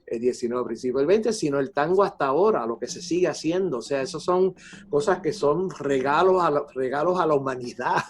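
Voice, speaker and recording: An older man with a Puerto Rican Spanish accent, a composer reflecting in an interview, haltingly repeating words as he explains. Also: fairly high pitch, expressive. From Puerto Rico.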